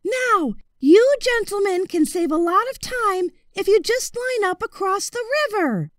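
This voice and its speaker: A woman's voice: very high voice, lively intonation, measured pace, dry recording, studio quality, voice pushed up. A woman voicing a courteous, resourceful boy adventurer in a children's storybook, with a persuasive manner. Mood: brisk organising. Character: chirpy, polite, resourceful young boy adventurer.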